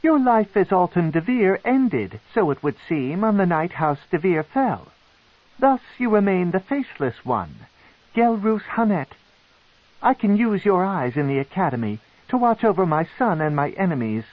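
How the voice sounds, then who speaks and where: A man voicing a commanding dark elf matriarch. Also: sits high, big pitch swings, normal speed, dry recording, phone-quality sound, voice pushed up. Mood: imperious. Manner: imperious, snarling.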